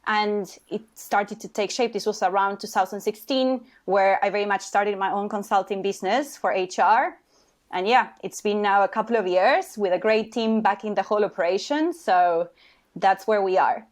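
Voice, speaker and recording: A woman with a Uruguayan Spanish accent, speaking at a middle pitch. She talks in a relaxed, reflective way and often restarts or pads her thoughts with fillers. She sounds like a podcast guest explaining her views. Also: moderate pace. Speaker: guest, HR consultant. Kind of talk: podcast interview.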